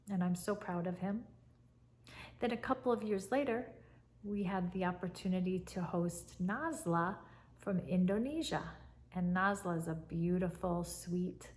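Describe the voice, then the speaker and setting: Soft, slow-speaking woman by the fire. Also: on the low side. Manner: warm, soft-spoken and unhurried, with fond reflective pauses. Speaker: English teacher, channel host. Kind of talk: slow, gentle fireside chat to camera. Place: living room by a fireplace, indoors. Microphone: camera at chest height, seated.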